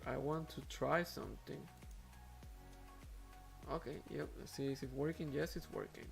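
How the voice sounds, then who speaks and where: A man's voice: on the high side, fairly even intonation, moderate pace, vocal fry, faint music behind. A man whose first language is Spanish, speaking English with a Spanish accent as host of an aquarium live stream, talking casually with many fillers and restarts.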